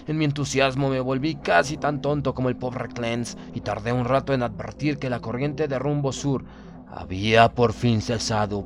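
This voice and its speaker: A man narrating a literary horror audiobook in a solemn, measured, formal manner. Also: mid-range voice, background noise. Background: background music.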